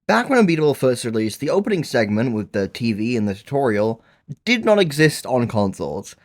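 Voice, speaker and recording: A man's voice: mid-range voice, a little mellow. A man narrating a scripted video essay in an earnest, enthusiastic manner, with casual asides.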